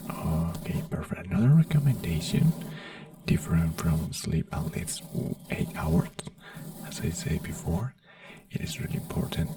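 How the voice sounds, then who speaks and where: A man doing a soothing ASMR doctor roleplay in a slow whisper, with frequent fillers and phrasing. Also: sits low, very animated, vocal fry, warm tone, no echo, recorded from a distance.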